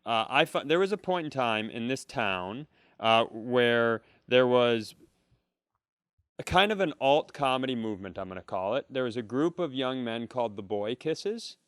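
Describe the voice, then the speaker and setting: A man's voice: mid-range voice, animated, moderate pace, clean tone, dry recording, studio quality. A man co-hosting a podcast in loose comedic chat, wry and teasing, with some swearing. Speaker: podcast co-host.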